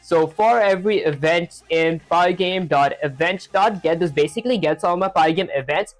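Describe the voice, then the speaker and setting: A man's voice: fairly high pitch, normal speed, faint music behind. A young man live-streaming his programming practice, talking casually and rambling with lots of filler words while he reads chat. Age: young adult.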